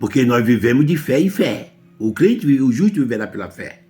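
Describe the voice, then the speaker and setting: A man's voice: mid-range voice, sing-song, normal speed, dark tone. A man from Brazil with a Brazilian Portuguese accent, preaching a sermon emphatically and often asking his listeners whether they understand.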